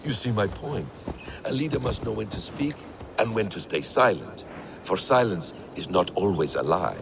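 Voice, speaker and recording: An actor playing a shrewd, secretive assassin mentor in a video game, speaking in a measured, formal, instructive way. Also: male voice, on the low side, expressive. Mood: calm, instructive. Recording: clear noise behind dialogue.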